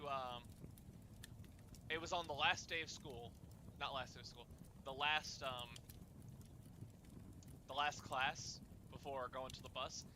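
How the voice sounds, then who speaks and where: A young man telling personal stories casually, in a halting way with repeated words and restarts. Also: on the high side, bright, forceful tone, a little hiss or hum. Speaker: storyteller and host of a group chat.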